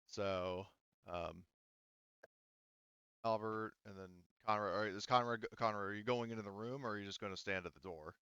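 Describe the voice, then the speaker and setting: A man acts as game master for a tabletop roleplaying session, thinking out loud in a halting way. His voice is fairly low and smooth, captured dry on a slightly dull, lo-fi microphone. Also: dry recording. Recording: dull, lo-fi, dry close sound.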